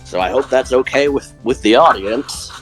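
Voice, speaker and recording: A man's voice: mid-range voice, normal speed, over music. A man hosting an informal, casual opinion chat, talking loosely with a co-host. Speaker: channel host.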